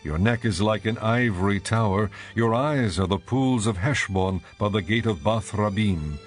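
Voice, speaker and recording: Audio drama bridegroom, male actor: low register, reverent and poetic, adoring, scripture recitation with light music underneath. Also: moderate pace, close to an everyday voice. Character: adoring male lover praising his bride, ancient royal poetry.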